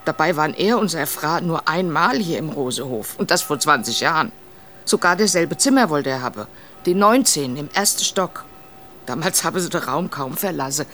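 A woman's voice: sits low, fairly bright tone, a little hiss or hum. An actress playing a nosy, forthright landlady of a country inn, narrating in the first person.

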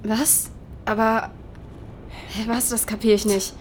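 Young grieving daughter, slightly high voice, insistent, colloquial radio-play delivery over clear background noise. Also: female voice, steady tone, slow. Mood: doubtful. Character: grieving, searching young daughter with exceptional hearing. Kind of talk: naturalistic audio drama dialogue.

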